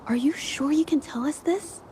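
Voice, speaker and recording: An actress playing a shy, gentle young female painter in a video game, hesitant and polite. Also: sits high, moderate pace, a little hiss or hum, natural voice. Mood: polite, uncertain. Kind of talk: video game character acting.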